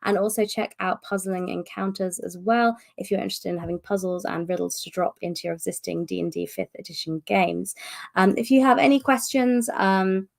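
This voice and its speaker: Chatty woman with a gravelly voice. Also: mid-range voice, steady tone, a little fast. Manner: chatty, rambling, with many fillers and restarts. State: recovering from a cough, voice strained. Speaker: host of publisher's live stream. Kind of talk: casual monthly update stream.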